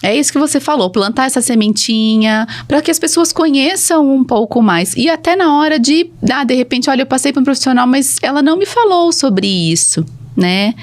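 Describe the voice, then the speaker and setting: A woman's voice: high voice, expressive, brisk, few pauses. Brazilian woman, conversational podcast guest. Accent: Brazilian Portuguese. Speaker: podcast guest, speech therapist. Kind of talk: casual interview conversation.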